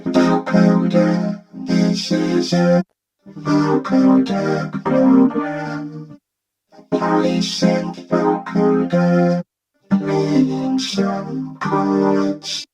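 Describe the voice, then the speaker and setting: Slow vocoder robot. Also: male voice, warm tone, faint music behind, low-quality recording. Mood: robotic, flat. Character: flat synthetic robot voice from a vocoder. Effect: vocoder robot filter over synth notes. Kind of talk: slow demonstration phrases on keyboard notes.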